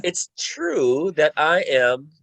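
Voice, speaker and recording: A man in his sixties introducing himself informally as a panelist, speaking in a halting, measured way. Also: fairly high pitch, very animated, gravelly, a bit bright, no echo. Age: 60s. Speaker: conference panelist and language teacher. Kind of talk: informal self-introduction in a group discussion.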